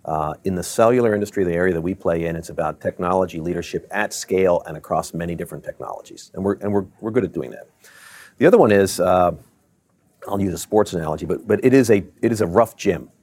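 Middle-aged exec from Maryland, very low voice, dry close sound, measured delivery with fillers. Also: male voice, darkish tone, no echo. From Baltimore, Maryland. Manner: measured, many fillers and restarts. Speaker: tech company CEO guest. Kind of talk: business interview answers.

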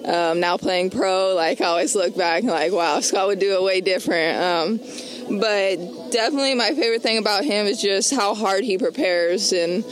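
A woman's voice: medium pitch, normal speed. A woman pro basketball player answering interview questions in a laid-back way with many ums, outdoors. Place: outdoor event stage. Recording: crowd noise around.

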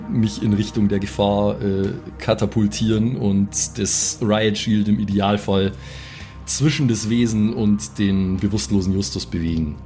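A man playing a tabletop role-playing game, describing his character's actions casually and haltingly as he thinks aloud. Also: lowish voice, warm tone.

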